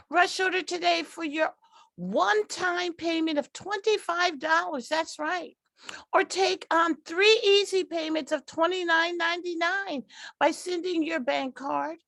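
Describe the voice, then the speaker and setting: A woman's voice: very high voice, gravelly, fairly bright tone, no echo, exaggerated voice. A woman voicing a chirpy, eager talking TV remote in an old-time radio commercial parody.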